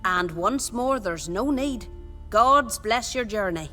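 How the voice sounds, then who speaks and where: An actress playing a brisk, motherly village woman in a video game, plain-spoken and bossy but kind. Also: mid-range voice, animated, bright, forceful tone, soft background music. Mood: kindly firm. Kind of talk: video game dialogue acting.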